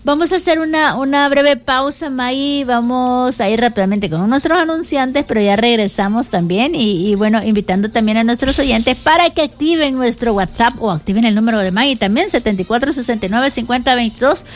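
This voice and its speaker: Woman co-hosting a radio show, pitched a bit high, leads into the breaks, faint music under her. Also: fairly quick, hardly any pauses. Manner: agreeable, moderates the show. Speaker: radio co-host. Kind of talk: casual radio chat.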